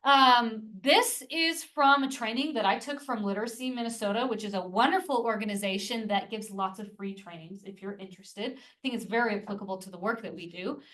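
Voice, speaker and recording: A woman's voice: mid-range voice, a little fast, hardly any pauses, fairly bright tone. A woman leading a tutor training session, speaking in an encouraging, reassuring way. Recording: distant room mic.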